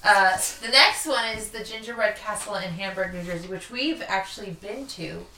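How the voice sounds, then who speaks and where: A woman's voice: medium pitch, normal speed, bright, forceful tone, a little hiss or hum. A woman co-hosting a podcast in casual, chatty storytelling, informal and jokey. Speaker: podcast co-host. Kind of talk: casual chatty storytelling about local history. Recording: roomy echo, distant from mic.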